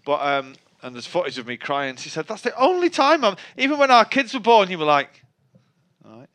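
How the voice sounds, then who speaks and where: A man's voice: sits high, big pitch swings, a little fast, a bit bright. A middle-aged man with a Manchester accent, a guest on a chat show, talking in a dry, wry way with many restarts. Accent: Mancunian. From Manchester, England. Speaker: guest musician. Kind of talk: casual interview chat.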